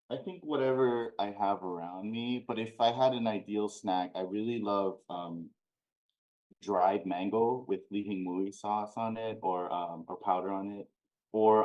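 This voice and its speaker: Man from Hawaii, slightly low voice, casual, hesitant answers, playwright guest on a video call. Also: slow pace, darkish tone, dull audio.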